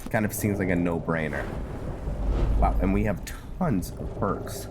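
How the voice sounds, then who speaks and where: Low slow guy doing casual game commentary, kinda halting, thinking out loud, loud music behind him. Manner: musing aloud, hesitant, halting. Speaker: gamer playing and narrating a fantasy roleplay series. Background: loud game music under the voice.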